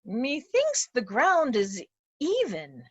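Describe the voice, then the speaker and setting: A woman's voice: sits high, wildly swooping pitch, takes it slowly, slightly rough, dry recording, lo-fi sound, exaggerated voice. A woman playing a blind, despairing old nobleman in an amateur Shakespeare reading, weary and solemn. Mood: doubtful, weary. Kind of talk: amateur Shakespeare reader's theatre.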